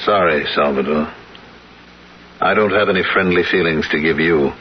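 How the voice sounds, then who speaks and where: Actor as low-voiced, bitter avenger. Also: male voice, natural voice. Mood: cold, bitter. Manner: cold, deliberate, grim. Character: bitter, merciless man bent on revenge. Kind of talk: 1970s radio drama acting. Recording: muffled old radio broadcast with light noise.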